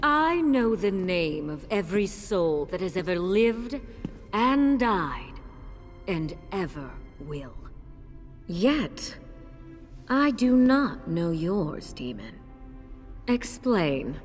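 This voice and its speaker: A woman's voice: lowish voice, very animated, drawn-out words, exaggerated voice. A woman playing a cold, imperious goddess of death in a video game, commanding and aloof, her voice in long reverb. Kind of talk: video game cutscene acting. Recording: long cavernous reverb, music behind.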